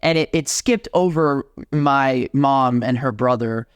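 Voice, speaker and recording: A young adult man from Miami, Florida, a guest organist chatting in an interview, animated and full of restarts. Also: mid-range voice, takes it slowly, smooth, no echo. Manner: animated, talks with many restarts. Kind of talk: casual interview conversation.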